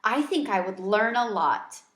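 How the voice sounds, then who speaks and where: A woman's voice: medium pitch, expressive, unhurried, echoey room, lightly acted. A woman reading the part of a busy, good-humored female schoolteacher in a storybook, conversational and practical. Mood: practical, amused.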